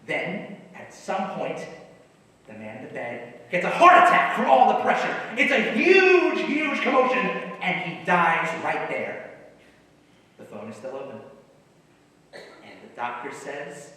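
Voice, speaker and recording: A man's voice: fairly high pitch, huge pitch swings, a little slow, sharp tone, full character voice. A man playing an ailing, grouchy comedy writer of thirty-nine on stage, wisecracking and theatrical, swinging between mutters and outbursts. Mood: animated joking. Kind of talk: live one-act stage comedy acting. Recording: echoey hall, distant mic, faint noise.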